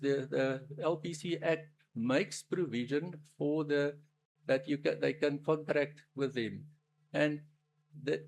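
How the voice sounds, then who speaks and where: A man whose first language is Afrikaans, speaking with a South African accent, gives a formal submission as an attorney at a public hearing. He talks haltingly, often restarting and searching for the next word. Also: on the high side, vocal fry, noisy background.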